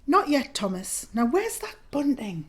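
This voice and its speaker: A woman playing a stern, haughty middle-aged matron in an audio drama, sharp, scornful and commanding. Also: high voice, very animated, measured pace, slightly rough, heavily acted. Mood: brisk, scolding. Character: stern, haughty Edwardian matron of a care home.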